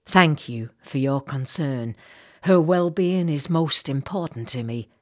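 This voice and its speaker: A woman voicing an earnest, courteous gentleman captain, speaking in a grave, formal, measured way for an audiobook. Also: low-pitched, lively intonation.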